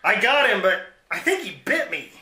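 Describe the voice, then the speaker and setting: A man's voice: high-pitched, big pitch swings, normal speed, sharp tone, echoey room, heavily acted. A man playing a dim, mild-mannered villain's henchman in a comedy western radio play, hesitant and naive.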